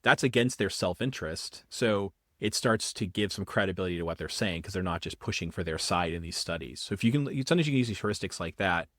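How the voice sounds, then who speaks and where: A man from the Boston area talks fast as a podcast guest, tumbling through explanations and correcting himself. His voice sits a little low. The sound is dry and close. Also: no echo. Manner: quick, animated explaining with repeated restarts. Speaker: guest, political philosophy professor. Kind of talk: casual podcast interview.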